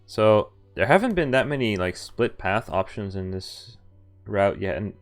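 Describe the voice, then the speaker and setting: Clear-voiced man streaming a game, casual. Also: on the low side, animated, measured pace, soft background music. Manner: chatty, breaks off into asides. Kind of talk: casual livestream commentary, reading visual novel text aloud.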